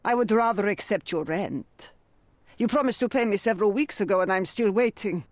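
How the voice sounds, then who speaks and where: A woman's voice: medium pitch, brisk, dry recording, lightly acted. Woman playing a bossy old landlady. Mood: scolding. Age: older. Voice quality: scolding, bossy. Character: stern elderly landlady, sharp-tongued and suspicious. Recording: dry close studio sound.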